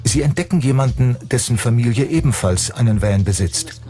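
A man's voice: medium pitch, animated, a little fast, warm tone. A man narrating a true-crime documentary in a scripted style. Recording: music under the voice. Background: background music.

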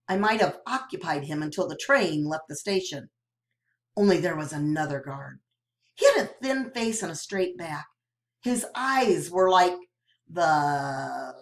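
Slow, expressive woman reading a novel aloud. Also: on the low side. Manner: expressive, storytelling delivery. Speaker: narrator.

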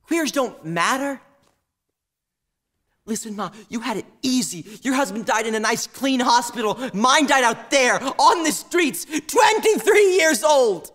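A high-voiced young man, as acted by a student actor, playing a grieving and defiant son in a stage monologue. His delivery swings widely in pitch as he confronts his mother. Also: expressive, a little slow, fairly bright tone, full character voice. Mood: anguished, outraged. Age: teens to young adult.

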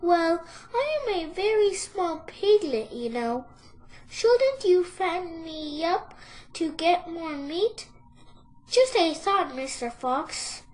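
A woman's voice: extremely high pitch, animated, slow pace, a little mellow, voice pushed up, full character voice. A woman voicing a small crafty piglet in a storybook, meek and coaxing with a squealing streak. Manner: sighing, coaxing, mock-helpless.

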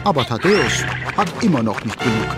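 A man's voice: medium pitch, sing-song, a little fast, over loud music, lightly acted. A man narrating a children's audio drama in a vivid, dramatic storytelling manner.

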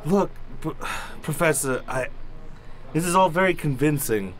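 A man's voice: on the high side, expressive, a little slow, low-quality recording. A man playing an earnest, easily flustered police officer in an improvised radio comedy, hesitant and stammering. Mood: incredulous. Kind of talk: improvised old-time radio comedy acting.

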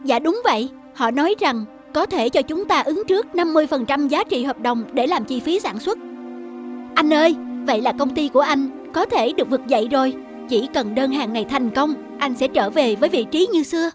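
A woman voicing a polite, devoted and determined young wife in an animated moral drama. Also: sits high, moderate pace, over music. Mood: hopeful. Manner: polite, earnest.

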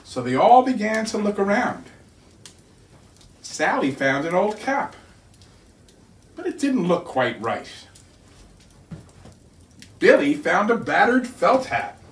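Slow, animated man reading a storybook. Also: high-pitched. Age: middle-aged. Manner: expressive, deliberate. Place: living room by a fireplace, indoors. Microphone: distant camera. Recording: roomy living room, distant mic.

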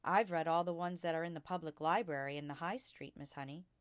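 A woman reading a storybook as a bright, earnest five-year-old schoolgirl, polite, precise and modest. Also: low-pitched, fairly quick, no room sound, clean studio sound, close to an everyday voice. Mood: modest, frank.

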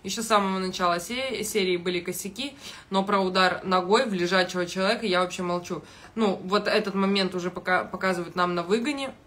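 A young woman giving casual video commentary in a blunt, halting, heavily sweary manner, recorded on a phone in a kitchen. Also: medium pitch, a bit bright. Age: young adult. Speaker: reality show commentator. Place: small kitchen indoors. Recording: noticeable background noise.

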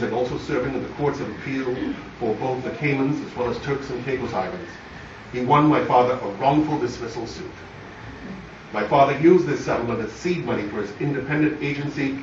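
A man with a Barbadian accent delivers a scripted memorial speech about his father in measured, formal storytelling fashion. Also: mid-range voice, noisy background. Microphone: phone camera far from the speaker. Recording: distant, muffled phone recording, echoey room.

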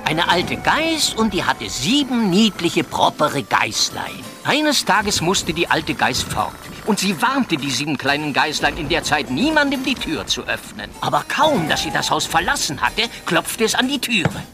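An actor playing a fussy, theatrical evil sorcerer in an audio drama. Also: male voice, a little high, wildly swooping pitch, unhurried, vocal fry, few pauses, music in the background, full character voice. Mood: gleeful storytelling.

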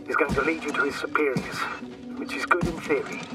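A worried mission commander gives urgent guidance over a radio in a sci-fi game. His voice is fairly high and sounds distant, with music beneath it. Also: steady tone, brisk. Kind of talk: dramatic game voice acting.

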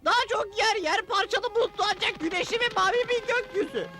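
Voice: extremely high pitch, fast. A performer playing an earnest, fussy, bespectacled brainy male Smurf in a dubbed children's cartoon. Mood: officious. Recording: music behind the voice.

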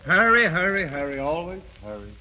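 An actor playing a pretentious, sulky artistic hanger-on in a 1930s radio comedy, affected and melodramatic. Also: male voice, fairly high pitch, big pitch swings, brisk. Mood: aggrieved, theatrical. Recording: muffled old radio recording.

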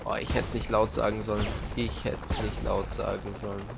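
Young guy doing lets play commentary, rambly n bit halting, super noisy game gunfire behind him. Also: lowish voice, flat delivery, creaky. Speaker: let's play host. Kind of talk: casual gameplay commentary. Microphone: headset. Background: video game gunfire, vehicles, helicopter.

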